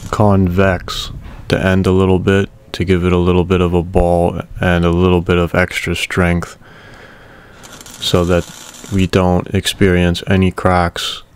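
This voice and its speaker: A man casually narrating a welding repair video in a relaxed, matter-of-fact way. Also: sits low, a little hiss or hum.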